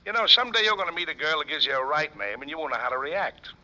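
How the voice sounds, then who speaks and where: Grumbling folksy cop, forceful and swoopy, sarcastic, old muffled radio sound. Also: male voice, on the high side, sing-song, racing, full character voice. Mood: smug, needling. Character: blustery, easily exasperated male police lieutenant, sarcastic sidekick. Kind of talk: old-time radio comic-detective acting.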